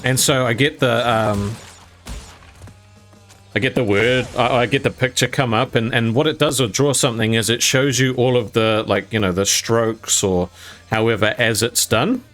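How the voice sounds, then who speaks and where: A man's voice: medium pitch, fairly even intonation, moderate pace, a bit bright, soft background music. A young adult man telling a personal story to camera, rambling with fillers and laughing along as he goes.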